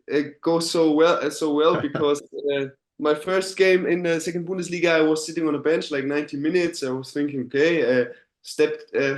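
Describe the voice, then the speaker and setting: A young man in his twenties with a German accent, a footballer speaking hesitant non-native English with many fillers and restarts in a casual interview. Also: a little high. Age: 20s. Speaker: professional footballer, guest. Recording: roomy echo.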